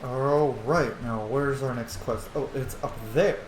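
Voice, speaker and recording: A man giving casual, chatty, sweary commentary as he plays a video game, thinking out loud. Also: medium pitch, measured pace. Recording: echoey room, faint background noise.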